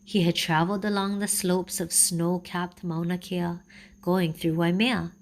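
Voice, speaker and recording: A woman storyteller reads a children's tale aloud. She takes her time, keeping an unhurried, gentle rhythm. Her voice sits a little low for a woman. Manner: slow, gentle, even reading.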